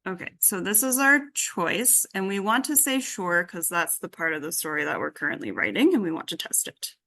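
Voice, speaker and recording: A woman's voice: on the low side, lively intonation, normal speed, pushed and bright. A woman giving an informal live coding demonstration as instructor, tentatively thinking aloud as she works. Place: indoor group workshop session.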